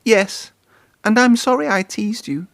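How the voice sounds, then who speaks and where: A man voicing a cheeky, boastful, excitable young steam engine in a children's storybook. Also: high voice, unhurried, vocal fry, no echo, pitched above the performer's own voice, heavily acted. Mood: guilty. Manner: bold, excitable.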